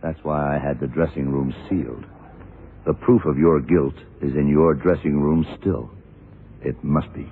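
An actor playing a shrewd, worldly, commanding male detective who explains deliberately, in the style of vintage radio drama. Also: rumbling low, steady tone, a little hiss or hum. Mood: assured, accusing. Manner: deliberate, hard-boiled explaining. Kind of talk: 1960s-70s radio drama acting. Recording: muffled, narrow-band vintage radio recording.

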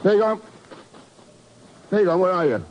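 An actor playing a cool, dry-witted secret agent hero in a 1950s radio drama. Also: male voice, sits high, unhurried. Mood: urgent, exasperated. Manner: dry, sardonic, unruffled. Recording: muffled, very noisy 1950s radio recording.

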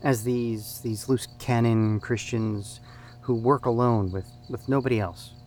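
An actor playing an earnest church group leader, conversational and prompting with probing questions. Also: male voice, medium pitch, steady tone, unhurried, darkish tone, slight background noise, natural voice.

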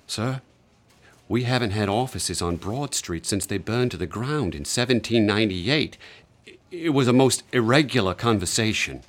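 Man playing a proper, fairly low-voiced banker. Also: animated, moderate pace, lightly acted. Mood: puzzled, earnest. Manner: formal, precise. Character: proper, baffled banker reporting to a client. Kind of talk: radio drama acting.

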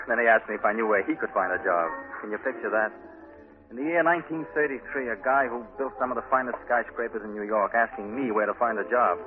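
A man's voice: mid-range voice, fairly even intonation, fast, over music, lightly acted. A man playing an earnest, troubled, idealistic architect out of work, acted in 1940s radio drama style. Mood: bitter, wry. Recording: tinny, band-limited old radio broadcast.

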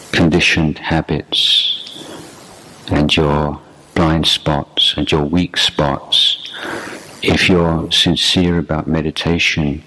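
A man with an extremely low voice leads a meditation practice. He speaks slowly and deliberately, leaving long pauses between short phrases. His delivery stays very even in pitch, like unscripted instruction from a teacher. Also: poor audio. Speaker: meditation teacher, Buddhist monk. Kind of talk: guided meditation instruction, unscripted dharma talk.

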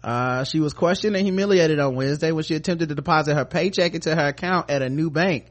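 American guy podcasting, bit higher voice, sarcastic and sweary, joking thru news stories. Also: fast talker. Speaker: podcast host. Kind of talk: casual comedic news commentary.

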